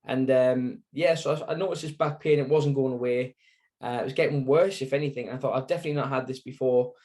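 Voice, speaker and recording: A man's voice: medium pitch, fairly even intonation, fast, dark tone. Rambling young man with Sunderland accent. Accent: Sunderland (Mackem). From Sunderland, England. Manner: rambling, lots of fillers and self-corrections. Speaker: interview guest. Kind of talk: casual storytelling interview.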